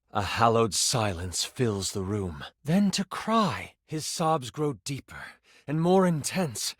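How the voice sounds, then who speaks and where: An actor playing an excitable, theatrical nerdy game master in a video game, moving between grand narration and casual asides. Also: male voice, on the high side, dry recording, clean studio sound, full character voice. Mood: hushed, dramatic. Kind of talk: video game character voice lines, dramatic tabletop narration.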